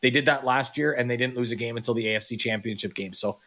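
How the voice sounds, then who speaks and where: Man, sports radio co-host, rambling, restarts sentences, mid-pitched. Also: fast, bright, forceful tone. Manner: rambling, restarts sentences, acts out quoted reactions.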